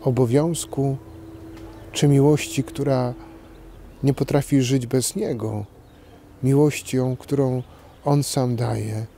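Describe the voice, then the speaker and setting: A man in his forties, a priest, delivering a short homily in a measured, deliberate way with pauses. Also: mid-range voice, steady tone, creaky, dark tone. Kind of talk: short scripted homily to camera. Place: outdoors in a park. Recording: outdoor background noise.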